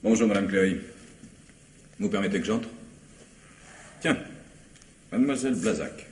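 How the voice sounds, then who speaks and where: A man acting as a composed, methodical police commissioner in a French radio mystery, speaking formally and deliberately. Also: on the low side, a little mellow, breathy edge. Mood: calm, accusing.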